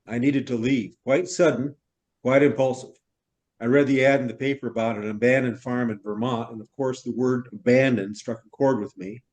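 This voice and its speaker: A man's voice: mid-range voice, steady tone, normal speed, darkish tone, natural voice. A man playing an effusive, wordy middle-aged novelist from New York in a theatrical stage reading. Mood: reflective, enthusiastic. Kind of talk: stage reader's theater acting.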